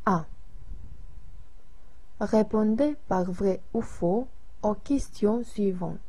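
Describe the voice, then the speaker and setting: A woman narrating a language lesson, reading instructions and exercises slowly and evenly. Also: mid-range voice, dark tone, slightly rough.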